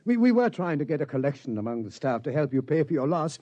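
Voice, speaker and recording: Brief: a timid, stammering scientist, warm and jumpy, old radio recording. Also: male voice, fairly high pitch, big pitch swings, quick pace, lo-fi sound. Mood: flustered. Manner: stammering, flustered. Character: nervous, stammering male observatory astronomer. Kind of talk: 1950s radio acting.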